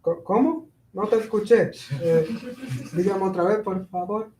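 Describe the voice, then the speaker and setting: High, mellow-voiced young construction worker, played by a man. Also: normal speed, a little hiss or hum, natural voice. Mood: sheepish. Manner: hesitant, casual. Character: evasive, easygoing young construction worker, American-born. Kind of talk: staged play reading. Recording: roomy, echoing sound.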